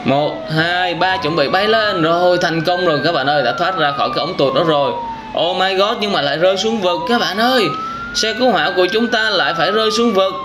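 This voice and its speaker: Southern Vietnamese man over clearly noisy audio. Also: high voice, brisk. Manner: exclamatory storytelling with frequent wows and addresses to viewers. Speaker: gaming reaction video host. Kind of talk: casual reaction commentary addressed to young viewers. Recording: clearly noisy with game vehicle sounds and sirens.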